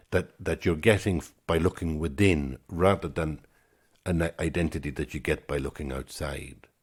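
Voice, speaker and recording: A man in his sixties with an extremely deep, husky voice hosts a solo podcast. He muses thoughtfully, circling back and repeating words as he works out each idea. His tone stays unhurried and reflective. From Ireland. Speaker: podcast host.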